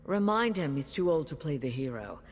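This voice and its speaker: A woman's voice: low voice, very animated, a little fast, a little hiss or hum. A woman playing a proud, precise veteran councillor in a fantasy video game, formal, composed and dry. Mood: composed, concerned. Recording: long cavernous reverb, music behind.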